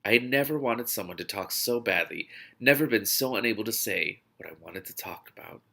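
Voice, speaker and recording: A man reading fiction aloud as host of a read-along show, with expressive, dramatic delivery. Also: lowish voice, a little fast, vocal fry. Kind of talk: expressive audiobook-style reading with spontaneous reactions.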